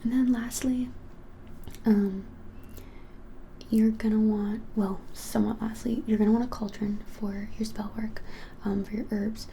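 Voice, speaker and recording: Young woman whispering, dark soft tone. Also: medium pitch, flat delivery, a little hiss or hum. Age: 20s. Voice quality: breathy, unvoiced whisper. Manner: soft, hesitant, halting, helpful explaining. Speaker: ASMR creator playing a witch shop keeper. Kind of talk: whispered ASMR roleplay, casual and conversational. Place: small plain indoor room.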